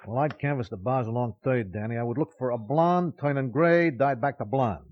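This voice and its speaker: Actor as a muffled vintage-radio New wiseguy. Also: male voice, medium pitch, a little fast, lightly acted. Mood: quiet, reflective. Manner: colloquial, mock-formal phrasing. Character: chatty ex-convict informant, dry New York underworld wiseguy. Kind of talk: old-time radio crime drama acting.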